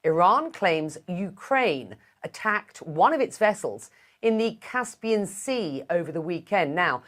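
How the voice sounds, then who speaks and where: Female news anchor, lowish voice, steady scripted broadcast read. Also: unhurried.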